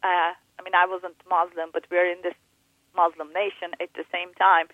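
A woman speaks over a thin, tinny phone line, her voice close and dry. She talks haltingly, filling pauses with ums and ahs and restarting her sentences. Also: lowish voice, level tone, no echo. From Turkey. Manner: hesitant, many fillers and restarts. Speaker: guest. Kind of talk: interview on faith and upbringing.

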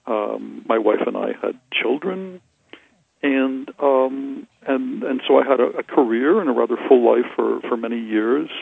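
Older man gravelly, husky voice on a phone line. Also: medium pitch, measured pace, no echo. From Cuba. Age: 60s. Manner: soft-spoken, reflective, frequent hesitations and restarts. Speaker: Trappist monk and author. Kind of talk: thoughtful interview answers.

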